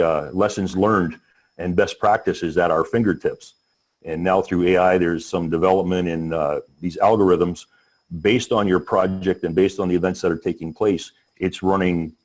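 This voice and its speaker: A man presenting on a business webinar, talking in a halting way with many restarts and fillers. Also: sits low, darkish tone. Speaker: panel speaker.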